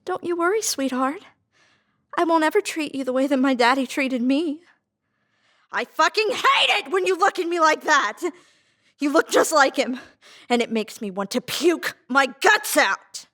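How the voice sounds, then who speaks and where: High-pitched, volatile, smothering mother. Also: expressive, normal speed, fairly bright tone. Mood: sickly sweet, then venomous. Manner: swings from snarling abuse to sickly-sweet coddling. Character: cruel, unhinged, smothering mother of a frightened son. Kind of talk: dramatic table read acting.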